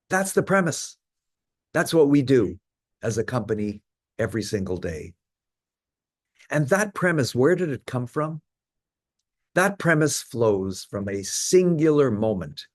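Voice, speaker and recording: A man in his seventies with a Canadian accent, giving a measured prepared talk as guest speaker. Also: mid-range voice, no room sound, studio quality. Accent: Canadian English.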